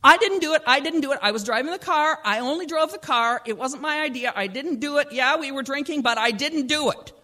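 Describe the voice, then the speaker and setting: The storyteller voicing a teenage boy who blurts out a defensive confession in a high, insistent voice. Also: expressive, brisk, hardly any pauses, bright, forceful tone.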